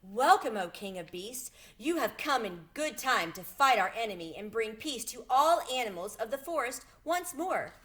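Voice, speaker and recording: A woman's voice: on the high side, big pitch swings, moderate pace, few pauses, fairly bright tone, pitched above the performer's own voice. A woman voicing a big, respectful male tiger in a storybook, speaking earnestly and formally.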